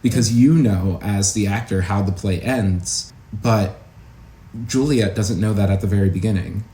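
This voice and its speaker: A man talking casually as an interview guest, an acting teacher explaining his craft in a thoughtful, halting way. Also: on the low side, warm tone. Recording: roomy echo, faint background noise.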